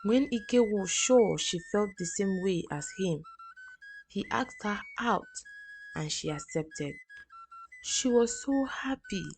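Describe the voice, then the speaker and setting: Woman storyteller, scripted narration, very unhurried, soft dark tone. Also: mid-range voice, animated, faint music behind.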